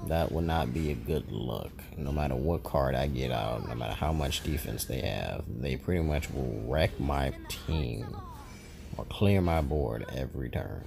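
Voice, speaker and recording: A man giving relaxed, rambling commentary over his card game matches, often correcting himself mid-sentence. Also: sits low, gravelly. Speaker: gaming YouTuber. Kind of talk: casual gameplay commentary.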